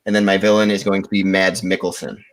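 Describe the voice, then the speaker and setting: A man's voice: low-pitched, level tone, fast talker, rough recording. A man casually presenting his ideas as a podcast contestant. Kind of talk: casual pitch talk.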